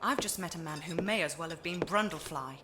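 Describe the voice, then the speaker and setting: An actress playing a cool, sardonic adventurer heroine in a video game, dry and unflappable. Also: female voice, low voice, fairly quick, background noise, close to an everyday voice. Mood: sceptical. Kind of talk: video game cutscene acting. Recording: music under the voice.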